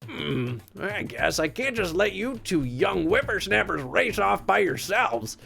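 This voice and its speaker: A grumbling old grandpa ape out of a children's storybook, acted out by a woman. He scolds like a folksy old-timer, with wide swoops in pitch and a creaky edge. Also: big pitch swings, unhurried, fairly bright tone, faint music behind, full character voice. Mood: grudging.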